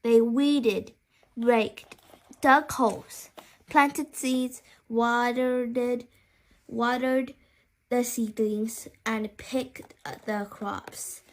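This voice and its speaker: Woman, high-pitched voice, slow pace, children's storytelling, halting read-aloud with repeated words. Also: steady tone. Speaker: storyteller reading a children's picture book.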